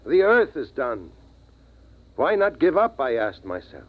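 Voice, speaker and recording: An actor playing a steadfast, philosophical middle-aged father, speaking in an earnest, measured, dramatic 1950s radio style. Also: medium pitch, wildly swooping pitch. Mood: resolute, reflective. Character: steadfast, philosophical father, last man guarding his family. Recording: dull vintage radio recording.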